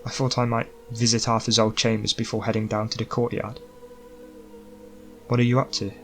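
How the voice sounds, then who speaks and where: A man voicing a mourning young queen, gentle but firm and pleading, in an audiobook story. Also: lowish voice, completely flat delivery, brisk, creaky, soft background music. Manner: pleading, earnest.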